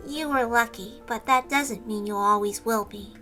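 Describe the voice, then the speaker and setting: Woman doing a soft, quiet, guarded young girl. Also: a little high, moderate pace, soft background music. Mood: guarded, subdued. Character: guarded, shy young girl survivor, soft-spoken and bleak.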